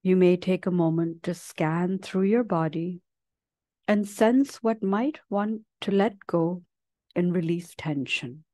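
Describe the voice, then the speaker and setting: A woman leading a guided meditation as a teacher, speaking gently and leaving long, unhurried pauses between phrases. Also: fairly low pitch, no echo, studio quality. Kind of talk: guided meditation, slow soothing instruction.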